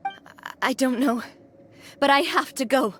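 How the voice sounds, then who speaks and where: A woman's voice: sits high, a little slow, fairly bright tone, slight background noise. An actress playing a resolute young female leader in an anime video game, speaking with commanding determination. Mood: shaken resolve.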